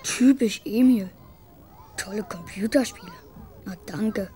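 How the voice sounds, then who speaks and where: A woman's voice: mid-range voice, a little slow, mellow, slight background noise, natural voice. A woman playing a sulky, exasperated young boy's inner voice in a German children's radio drama. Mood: grumbling.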